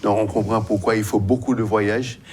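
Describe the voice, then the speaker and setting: Man, halting and explanatory. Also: fairly low pitch, steady tone, a little mellow, slight background noise. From United States. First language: English. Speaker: guest commentator. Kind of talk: explanatory talk show interview.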